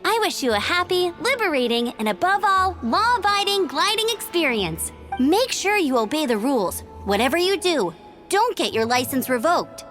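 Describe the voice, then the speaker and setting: A spirited young outrider in a fantasy game cutscene. The voice sits very high and bounces through a lively range. Music plays clearly beneath the dialogue. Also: female voice, normal speed. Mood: teasing. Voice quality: bright, upbeat and energetic. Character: young woman, cheerful, bold outrider. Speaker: game character.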